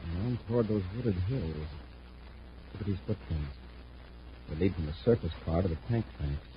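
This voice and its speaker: An American actor playing a steady, authoritative space patrol commander in a vintage radio adventure serial. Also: male voice, low-pitched. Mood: alert. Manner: brisk, authoritative, measured questioning. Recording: muffled, noisy vintage radio recording.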